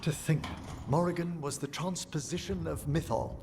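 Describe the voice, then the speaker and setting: An actor playing a knowing fantasy game character who explains lore and rumor expressively. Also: male voice, on the high side, fairly even intonation, normal speed, noisy background, natural voice. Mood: intrigued. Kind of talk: video game voice acting.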